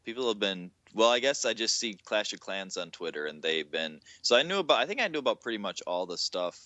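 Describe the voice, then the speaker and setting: A man co-hosting a gaming podcast, joking and telling stories in a casual, animated way. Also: lowish voice, a little fast, no room sound, lo-fi sound. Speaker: podcast co-host. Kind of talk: casual chat.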